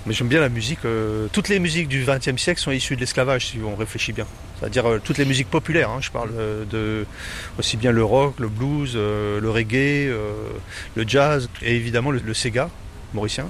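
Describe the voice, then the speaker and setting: A middle-aged man from Paris with a Parisian accent, answering interview questions in a relaxed, hesitant way full of fillers. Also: mid-range voice, brisk, a little hiss or hum. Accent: Parisian French. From Paris, France. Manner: hesitant, many fillers and restarts.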